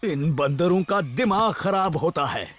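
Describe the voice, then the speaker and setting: A man's voice: a little high, expressive, a little slow, a little hiss or hum. A man voicing a fussy, good-natured bear in a cartoon, fretting and grumbling as he talks. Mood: grumpy. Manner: fretful, grumbling. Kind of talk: Hindi cartoon dub acting. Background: loud music.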